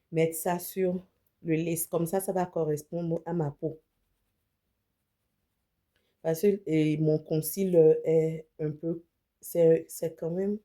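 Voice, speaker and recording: A woman's voice: low-pitched, warm tone. A woman with a slight cold, chatting casually as she demonstrates a wig and mixes English words into her talk. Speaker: beauty vlogger and image consultant. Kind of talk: casual wig unboxing demo.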